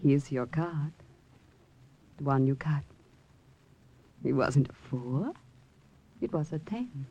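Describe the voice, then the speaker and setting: Earnest, breathy radio-drama sweetheart. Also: female voice, deep voice, expressive, slow pace, long pauses, dark tone, dull audio, exaggerated voice. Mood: hushed, moved. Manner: earnest, pleading. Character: earnest, idealistic woman, gambler's loyal sweetheart. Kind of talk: 1950s radio drama acting.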